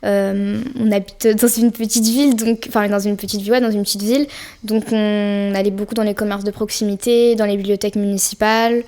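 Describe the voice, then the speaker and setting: A woman's voice: mid-range voice, level tone, brisk, warm tone. A young woman from France, a podcast guest, chatting casually and easily with plenty of fillers and slang. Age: young adult. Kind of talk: casual interview chat.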